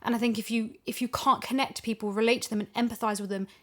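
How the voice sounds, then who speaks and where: High-voiced woman in casual discussion. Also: fairly even intonation, fast talker. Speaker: audio drama voice actress.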